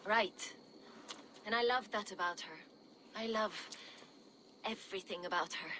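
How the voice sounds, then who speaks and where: A woman's voice: medium pitch, measured pace, natural voice. A woman playing a brash, bawdy Viking ex-smuggler in a video game, with a jaunty, teasing, wry way of talking. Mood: wry, candid. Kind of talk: video game character acting. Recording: clear background noise and outdoor ambience.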